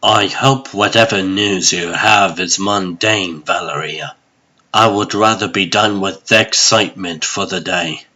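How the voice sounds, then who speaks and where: A man's voice: deep voice, steady tone, a little slow, full character voice. A man playing a stern, weary sorcerer sheriff in a comic dub, speaking in a grave, formal manner. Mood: weary, wary. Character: stern, weary male sorcerer sheriff who lays down the law. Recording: loud dramatic music behind.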